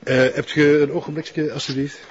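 An actor playing a smarmy, self-important middle-aged police chief with a Flemish accent, often hesitating and chuckling. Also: male voice, fairly high pitch, flat delivery, a little fast, dull audio, close to an everyday voice. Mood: jovial, flustered. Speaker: police commissioner. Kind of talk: naturalistic TV crime drama acting.

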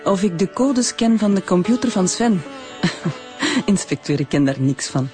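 A woman's voice: mid-range voice, lively intonation, measured pace, a little mellow, faint music behind, natural voice. A woman with a Flemish accent playing a guarded, prickly witness in a radio drama, curt and formal. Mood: defensive. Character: guarded, prickly girlfriend of a crime victim.

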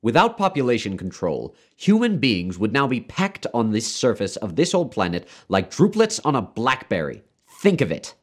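A man's voice: fairly low pitch, lively intonation, normal speed, voice pushed up, natural voice. A man voicing a very old, pompous male doctor who lectures with grand emphasis, in a story reading. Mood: impassioned. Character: pompous, preachy, very old male doctor championing population control.

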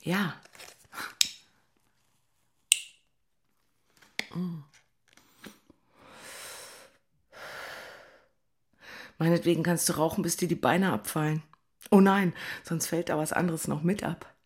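An actress playing a moody, emotional woman in her forties in a radio drama, with a husky voice. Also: on the low side, fairly even intonation, normal speed, a little mellow. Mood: low, playful. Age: 40s. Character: emotional, moody single woman in love affair. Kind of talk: German radio drama dialogue.

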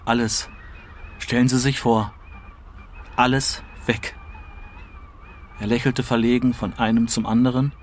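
A man giving a dramatic reading of literary prose in short, deliberate phrases. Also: lowish voice, slight background noise. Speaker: literary reader.

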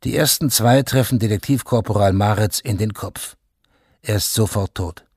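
A man's voice: lowish voice, moderate pace, a little mellow, husky, no echo, clean studio sound. A man narrating a scripted radio drama in a sober, factual manner, in short plain statements.

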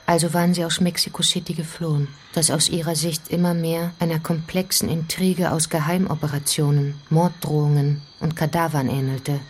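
An actress as the grave narrator of a radio play mystery, telling it in a measured, suspenseful way. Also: female voice, deep voice, flat delivery, a little hiss or hum, lightly acted. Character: grave female storyteller of a mystery adventure. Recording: music under the voice.

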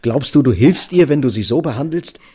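A man's voice: mid-range voice, expressive, a little fast, dark tone, natural voice. An actor playing a cautious, placating middle-aged husband and father in a radio drama, reserved and questioning. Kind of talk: German radio drama acting.

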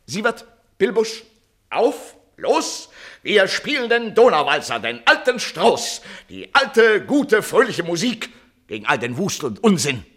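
An actor playing a flustered, authoritarian radio station director in a theatrical radio play, speaking in a commanding, declamatory way. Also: male voice, high voice, big pitch swings, a little slow, fairly bright tone, husky, full character voice. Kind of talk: theatrical early German radio play acting.

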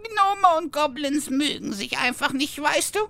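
A woman's voice: high voice, animated, unhurried, pushed and bright, exaggerated voice. A woman playing a whiny, melodramatic goblin full of old grudges in a fantasy parody audio drama. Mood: bitter, wailing. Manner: melodramatic, hesitant.

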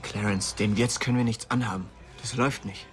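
A man's voice: on the low side, fairly even intonation, fairly quick, close to an everyday voice. Man acting young male small-time dealer, weary, bitter, coarse slang, radio crime drama narrator, music behind. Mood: bitter, tired. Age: young adult. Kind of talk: radio drama, first-person narration and dialogue.

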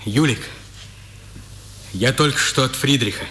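A man's voice: medium pitch, a little slow, sharp tone. An actor playing a nervous male prisoner in a 1950s Soviet radio drama.

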